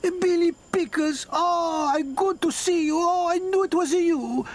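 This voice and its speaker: A tiny old Italian granny, acted out by a man, pitched very high and shrill. She is exuberant and bossy, full of warmth and fire, speaking broken English in a storybook reading. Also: measured pace. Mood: delighted, effusive. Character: warm, fiery tiny elderly Italian grandmother.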